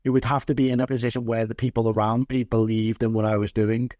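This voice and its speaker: A man's voice: medium pitch, little pitch movement, fast, darkish tone, no room sound. A man from Liverpool speaking as an interview guest and football coach, reflective and earnest, repeating phrases for emphasis. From Liverpool, England.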